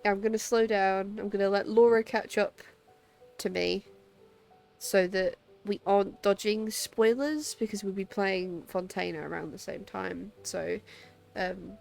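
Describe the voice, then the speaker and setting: A woman's voice: mid-range voice, fairly even intonation, unhurried, creaky, a little mellow. A woman game streamer giving casual live commentary with wry, slangy asides. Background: game music.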